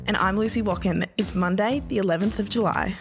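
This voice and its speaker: A woman co-hosting a sports news podcast, telling the stories in a lively, brisk way. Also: medium pitch, music in the background. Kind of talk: scripted sports news reading.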